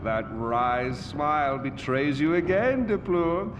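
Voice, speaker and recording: A man's voice: a little high, very animated, slow pace, noisy background. An actor playing a genteel but volatile middle-aged male tyrant in a video game, theatrical and mock-courteous, given to pedantry and sudden swearing. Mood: suave, teasing. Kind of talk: theatrical game cutscene monologue.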